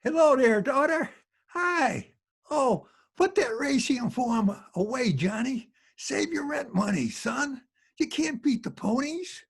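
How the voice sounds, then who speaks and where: A rambling old-timer from a radio comedy. The voice is high-pitched and raspy, folksy and full of jokey advice. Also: male voice, lively intonation, takes it slowly, heavily acted. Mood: scolding advice. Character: rambling, chuckling old man, folksy joker. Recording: home video-call recording.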